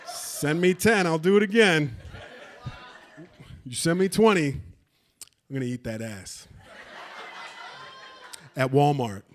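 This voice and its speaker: A man's voice: fairly high pitch, animated, slow pace, long pauses. A man doing a crude stand-up set on a theater stage, teasing and bantering with the audience. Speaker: stand-up comedian. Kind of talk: stand-up set with crowd work.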